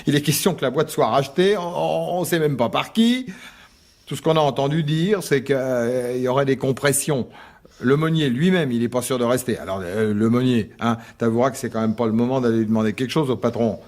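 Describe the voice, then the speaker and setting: An actor playing a harried husband and anxious office employee in a French radio drama, speaking hesitantly and defensively. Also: male voice, medium pitch, fairly quick, a little mellow, close to an everyday voice. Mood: defensive, pleading.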